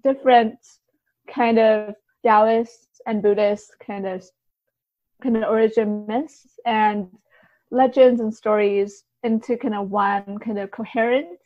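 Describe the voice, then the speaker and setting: Higher-voiced woman, dull lo-fi sound. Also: steady tone, slow, no room sound. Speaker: guest, translator and poet. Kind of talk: casual podcast interview answers.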